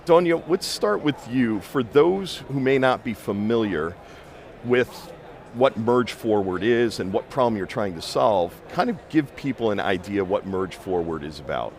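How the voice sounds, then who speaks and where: A man's voice: fairly low pitch, expressive, measured pace. A man hosting a conference interview, asking friendly, conversational questions with a few restarts. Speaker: interview host.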